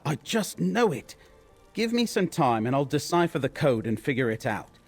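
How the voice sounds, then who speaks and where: An actor playing an earnest, scholarly investigator in a fantasy video game, talking in a thoughtful, deliberate, explanatory way. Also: male voice, on the high side, very animated, soft background music. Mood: earnest, pondering.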